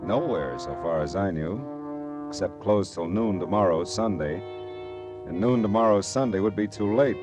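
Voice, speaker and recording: Man acting a low-voiced hard-boiled American detective. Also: lively intonation, normal speed, over music, close to an everyday voice. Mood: brooding, wry. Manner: sardonic, clipped, colloquial. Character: wry, hard-boiled male private detective. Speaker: lead actor and narrator. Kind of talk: 1940s radio noir acting and narration.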